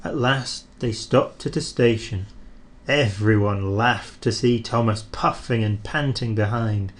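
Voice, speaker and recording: A man reading a children's train story aloud in an expressive, animated storyteller's manner. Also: on the low side, a little slow.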